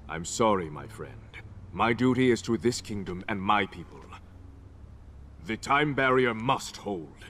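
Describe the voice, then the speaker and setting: A man's voice: fairly low pitch, lively intonation, unhurried, fairly bright tone, slight background noise. An actor playing a proud, guarded king in a game, speaking in a regal, formal, firm manner. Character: proud, guarded warrior king protecting his realm. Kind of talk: dramatic game cutscene acting.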